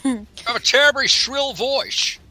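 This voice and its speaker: A man's voice: very high voice, fairly even intonation, unhurried, bright, forceful tone, no echo, faint music behind, exaggerated voice, low-quality recording. A man voicing a jaded, sardonic adventurer in a tabletop game, dry and wry.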